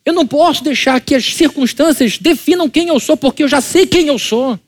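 Lively Brazilian pastor preaching. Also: male voice, very high-pitched, moderate pace, no echo. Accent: Brazilian Portuguese. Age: adult, married. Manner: lively and conversational, rhetorical questions to the congregation. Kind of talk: church sermon.

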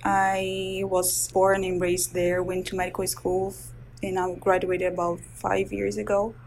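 A woman's voice: medium pitch, completely flat delivery, a little slow, vocal fry, darkish tone, a little hiss or hum, rough recording. A woman in her thirties from Brazil with a Brazilian Portuguese accent, a resident physician explaining her research in an interview with frequent hesitations. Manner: explains medical terms carefully with frequent hesitations. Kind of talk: interview answers.

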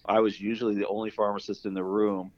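A man's voice: lowish voice, flat delivery, fast talker, no echo. A middle-aged man with a Canadian accent answering interview questions conversationally, rambling with plenty of "you know" and "kind of". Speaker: guest, university pharmacy dean. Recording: dull, lo-fi voice track.

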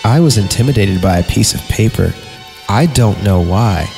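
Low-voiced sarcastic man in long reverb. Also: lively intonation, moderate pace, creaky, darkish tone. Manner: sarcastic, breaking off from narration into giggly asides. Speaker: podcast host reading creepypasta. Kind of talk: mocking dramatic story reading with comic asides. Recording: reverberant voice over background music. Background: music.

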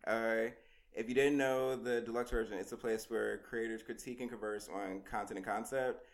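A man's voice: on the low side, steady tone, moderate pace, vocal fry. A man hosting a casual podcast, laid-back and slangy, laughing often between remarks. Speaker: podcast host. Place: Los Angeles.

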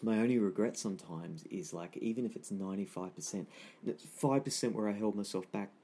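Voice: sits low, brisk, dark tone. A podcast co-host talking reflectively and conversationally about personal growth, often repeating phrases for emphasis. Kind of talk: conversational podcast discussion on self-development.

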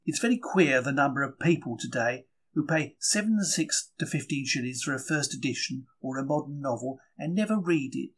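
Husky, plucky bookseller, acted by a man. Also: mid-range voice, animated, brisk, few pauses, natural voice. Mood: matter-of-fact, indignant. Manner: colloquial, wry, emphatic storytelling. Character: young 1930s London working-class bookseller, chatty, plucky, easily wounded. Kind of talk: dramatic first-person diary reading.

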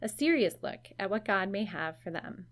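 A woman hosts a podcast and narrates in an easy, conversational way. She is lightly self-deprecating and keeps a relaxed pace. Her voice sits at an ordinary middle pitch. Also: moderate pace. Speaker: podcast host.

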